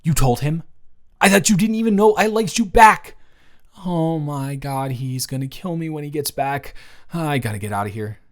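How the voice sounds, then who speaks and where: A man playing an earnest, easily flustered young man in love, in a conversational, emotive romantic audio roleplay addressed to the listener. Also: fairly high pitch. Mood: panicked, indignant. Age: young adult. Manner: conversational, emotive, swinging from hushed to exasperated.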